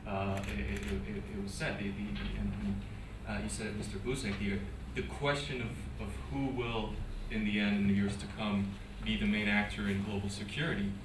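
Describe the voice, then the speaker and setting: A lower-voiced man talks on a panel in a conference hall, hesitant and full of fillers and restarts. He is picked up from a distance, with echo and noise around him. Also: flat delivery, moderate pace, hardly any pauses. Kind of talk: panel discussion.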